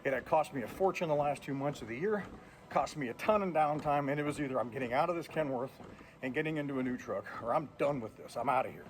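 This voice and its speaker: A man's voice: medium pitch, fast talker, slight background noise. A man in his fifties, a truck driver talking casually to viewers while walking on a treadmill, blunt and plainspoken. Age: 50s. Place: indoors on a treadmill.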